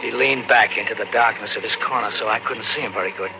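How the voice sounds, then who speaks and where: A man's voice: fairly low pitch, quick pace, noisy background, natural voice. An actor playing a scrappy, broke young male drifter in an old-time radio drama, talking in slangy, colloquial style. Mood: uneasy recollection. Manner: colloquial, slangy hard-boiled narration. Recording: muffled vintage radio recording. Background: loud dramatic music.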